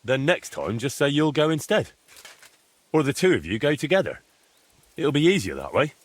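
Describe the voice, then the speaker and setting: An actor playing a sensible, helpful adventurer in a video game, speaking in a frank, questioning and wry manner. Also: male voice, on the high side, very animated, moderate pace, dry recording. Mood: probing. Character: sensible, helpful young male adventurer with dry wit. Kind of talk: video game dialogue acting.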